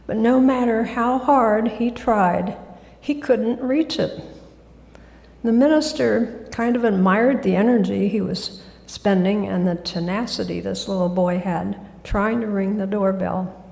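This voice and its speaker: A woman giving a gentle, soft-spoken talk as a guest speaker in a church. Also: medium pitch, unhurried, vocal fry. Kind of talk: sermon talk. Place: church sanctuary. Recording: echoey hall.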